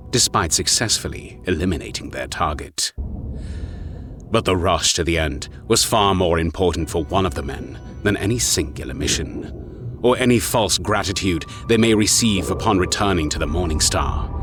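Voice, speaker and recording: Deep-voiced man narrating a dramatic story. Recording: music behind the voice.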